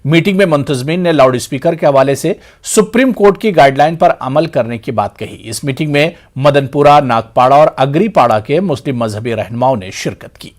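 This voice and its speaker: A man's voice: medium pitch, expressive, fairly quick, few pauses. A man reading a scripted news voice-over with formal diction, as a reporter.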